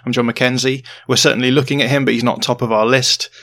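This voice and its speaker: Man, podcast host, casual and measured, monotone delivery. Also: mid-range voice. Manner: measured, with fillers.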